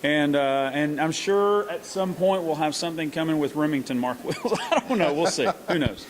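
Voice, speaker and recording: A middle-aged man talks in a fairly high voice. He answers interview questions earnestly and haltingly, starting over often as he works out what he wants to say. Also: expressive. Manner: earnest, halting with many restarts. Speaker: country singer and hunting show host.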